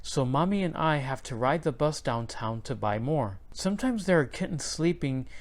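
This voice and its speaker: A man reading a children's picture book aloud, in clear and expressive storybook narration. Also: mid-range voice, normal speed.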